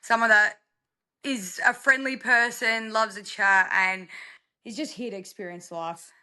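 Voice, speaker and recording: A woman's voice: mid-range voice, steady tone, measured pace, pushed and bright, clean tone. A young Australian woman from rural South Australia, chatting casually as a podcast guest in a candid, self-deprecating way. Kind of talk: casual personal interview chat.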